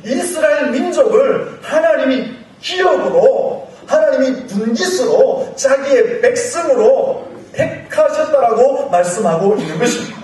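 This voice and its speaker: A male pastor preaching a Sunday sermon while he has a cold, fervent and rising into shouts at times. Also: very high-pitched, animated, a little slow, hardly any pauses, a little hiss or hum. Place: church sanctuary. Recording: very echoey church hall, mic far off, faint music.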